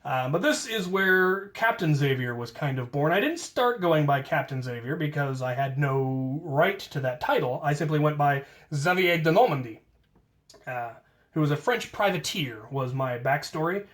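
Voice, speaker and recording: Higher-voiced American man telling stories. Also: expressive, moderate pace, a bit bright. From United States. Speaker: storyteller, host of a hobby channel. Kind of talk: casual autobiographical storytelling.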